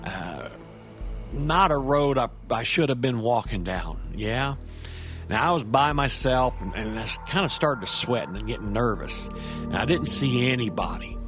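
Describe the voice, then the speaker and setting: Man playing a halting, nervy street storyteller. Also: medium pitch, animated, over music, lightly acted. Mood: uneasy. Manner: halting, stammering, conversational, with tag questions. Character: nervy, rambling street-wise man recounting a frightening encounter. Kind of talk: audio drama first-person horror monologue.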